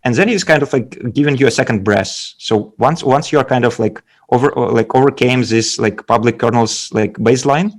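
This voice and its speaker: A man with a Belarusian accent giving technical explanations as a guest speaker, haltingly, often repeating words. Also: mid-range voice. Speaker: guest speaker, data scientist. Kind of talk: technical presentation and interview answers.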